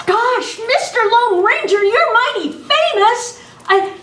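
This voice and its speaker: Far off in an echoey room, a woman plays a starstruck little boy with a very high, swooping voice. He is eager, polite and folksy, as in an old radio western. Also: expressive, slow pace, pushed and bright, heavily acted. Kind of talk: amateur old-time radio western re-enactment. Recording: echoey meeting room, distant mic.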